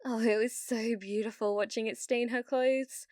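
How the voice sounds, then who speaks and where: A woman's voice: fairly high pitch, steady tone, darkish tone, no room sound, clean studio sound, lightly acted. A British woman voicing a delusional, needy, obsessive young gamer in love, in an ASMR roleplay. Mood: sweetly unhinged. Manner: breathy giggles, slow dreamy delivery with sudden intense bursts. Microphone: close studio-style mic, dry.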